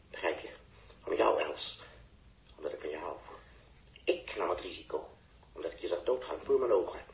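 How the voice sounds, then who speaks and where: Jealous young spaceman, roomy sound. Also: low voice. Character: jealous, hot-headed young spaceship radio operator. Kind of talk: 1960s Dutch radio drama acting. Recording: roomy early 1960s radio recording.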